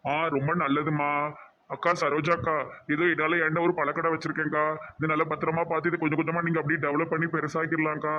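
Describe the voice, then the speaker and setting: A man's voice: on the high side, flat delivery, fast, noisy background, close to an everyday voice. A man voicing a kindly, earnest young lawyer in a cartoon, advising in a southern Tamil Nadu accent, on slightly lo-fi audio. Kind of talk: cartoon dialogue in colloquial dialect.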